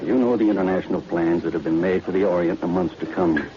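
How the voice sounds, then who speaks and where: A man playing a menacing, calculating party conspirator in 1940s radio style, deliberate and sinister, on a muffled old recording with clear hiss. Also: low-pitched, fairly even intonation, crowd noise, natural voice. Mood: suspicious, calculating.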